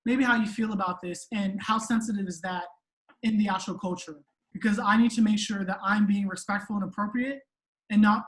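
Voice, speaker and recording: A woman's voice: medium pitch, rough recording. A woman language teacher speaking on a video call panel, hesitant and self-correcting, with many ums. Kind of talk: webinar panel discussion.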